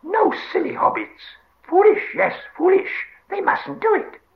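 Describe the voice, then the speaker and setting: Storybook creature: wretched and cringing, high squealing voice, hissing, wildly swooping, muffled recording. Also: male voice, expressive, a little slow, creaky, heavily acted. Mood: frantic, squealing. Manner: repetitive wheedling, speaks of himself in third person. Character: wretched, greedy, whining creature, cringing and easily alarmed.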